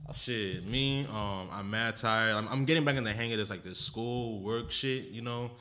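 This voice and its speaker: Bright, forceful, slangy American man. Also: fairly low pitch, moderate pace, a little hiss or hum. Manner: slangy, profane. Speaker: podcast co-host. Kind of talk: casual chat.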